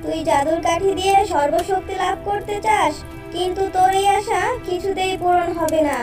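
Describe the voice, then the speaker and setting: A woman's voice: extremely high pitch, moderate pace, music in the background. A woman voicing an earnest young fairy in a children's cartoon, in a roomy, distant recording. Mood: defiant. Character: kind, grateful, defiant young female fairy.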